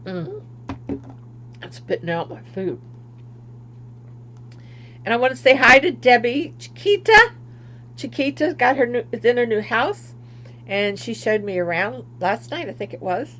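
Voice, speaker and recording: An older woman from Florida, chatting casually on her craft livestream, rambling and laughing at herself, often trailing off quietly. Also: fairly high pitch, sing-song, unhurried, long pauses, muffled recording, a little hiss or hum. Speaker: craft vlogger.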